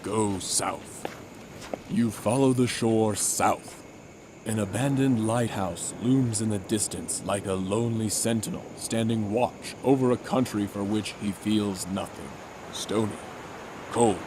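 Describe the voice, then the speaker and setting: A man giving a deadpan, mock-solemn dramatic reading of a comic adventure story. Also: on the low side, a little slow, background noise. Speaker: narrator.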